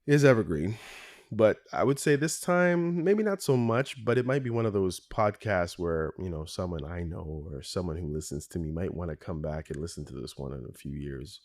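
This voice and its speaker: A man hosting his own podcast in a rambling solo monologue, his voice hoarse and raspy from a cold, chuckling often between asides. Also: low-pitched, animated, normal speed, a little mellow. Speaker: podcast host.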